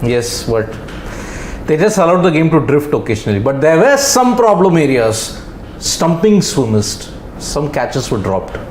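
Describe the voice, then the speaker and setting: A man on a live cricket panel who talks in a matter-of-fact way and is quick with figures. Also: a little high, big pitch swings, a little mellow, reverberant, slight background noise, low-quality recording. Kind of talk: live studio panel discussion.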